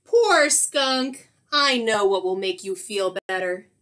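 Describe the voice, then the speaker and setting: A woman's voice: mid-range voice, lively intonation, slow, a bit bright. A woman voicing a cheerful, kindly male bear who loves hugs in a children's storybook, with a friendly, soothing, sing-song manner. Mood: kindly, consoling. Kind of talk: children's storybook read-aloud.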